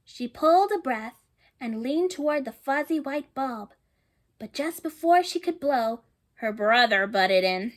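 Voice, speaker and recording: A woman with a high, light voice reads a children's storybook aloud. She pauses to think aloud and to put questions to her young listeners. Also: lively intonation. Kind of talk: picture book read-aloud with asides to listeners.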